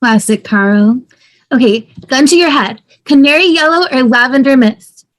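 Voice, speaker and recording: Casting: playful, upbeat young sitcom wife woman reading, very high voice, breezy optimism. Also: normal speed, close to an everyday voice. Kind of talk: sitcom table read.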